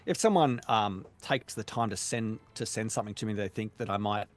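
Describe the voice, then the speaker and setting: A man, a voice actor, talking in a casual interview, measured and hesitant with many pauses and restarts. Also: lowish voice. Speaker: voice actor guest.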